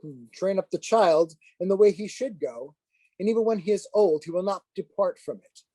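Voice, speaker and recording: A man's voice: high voice, animated, dark tone, no room sound. Stern, dryly sardonic priest played by a man. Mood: solemn lecturing. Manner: formal, measured, lecturing. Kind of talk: dramatized play script reading.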